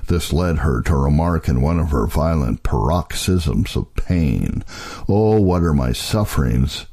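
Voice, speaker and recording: A man with a husky voice gives a solemn, measured, earnest reading of an old religious text, in the manner of an audiobook narrator. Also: a bass voice, mellow.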